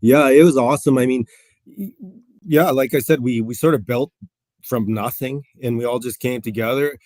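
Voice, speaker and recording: A man in his forties, a coach as interview guest, talking in an upbeat, relaxed way with fillers. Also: medium pitch, moderate pace, dry recording. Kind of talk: casual interview.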